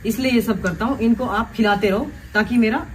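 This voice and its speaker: A woman's voice: fairly high pitch, brisk, slight background noise, rough recording. A woman talking indignantly and making accusations to the camera.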